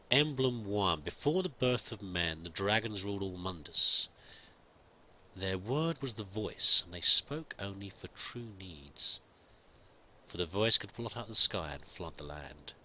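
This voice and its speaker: A man commenting on his roleplay gameplay, with a very bright, sharp voice that swings lively up and down in pitch. He muses aloud in a chatty way and reads game text as he goes. A faint noise sits under the voice. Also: poor audio. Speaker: let's play host.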